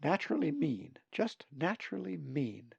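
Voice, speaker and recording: A man's voice: a little high, big pitch swings, moderate pace, creaky, a little mellow, slightly rough, no echo, lo-fi sound, voice pushed up, full character voice. A man voicing an opinionated, indignant, cautious female raven in a storybook, emphatic and disapproving. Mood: bitter.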